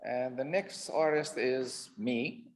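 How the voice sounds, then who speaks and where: A man from Syria who moderates an art panel and speaks as an artist, measured and hesitant with filler sounds. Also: medium pitch.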